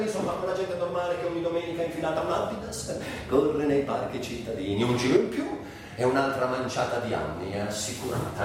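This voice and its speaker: An actor playing an anguished, bitterly ironic sick man in a theatrical radio monologue, speaking in broken, lyrical phrases with long pauses. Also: medium pitch, darkish tone, natural voice. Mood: sardonic, bitter. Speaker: stage actor and director. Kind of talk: dramatic literary monologue for radio. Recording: very echoey, distant, with faint hiss.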